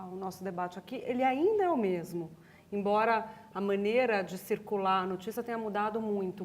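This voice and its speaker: A woman with a Brazilian accent speaking as a panelist in a discussion. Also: mid-range voice.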